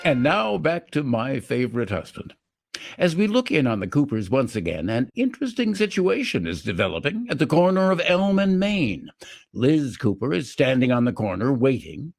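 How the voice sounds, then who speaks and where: A man's voice: mid-range voice, very animated, moderate pace, gravelly, no room sound. A man narrating as the announcer of a re-created 1940s radio comedy in a scripted, old-time style.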